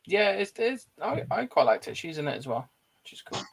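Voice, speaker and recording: Male co-host, mid-pitched with plenty of vocal fry, informal and blunt film talk. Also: big pitch swings, brisk. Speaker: film discussion show co-host. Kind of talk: casual movie chat.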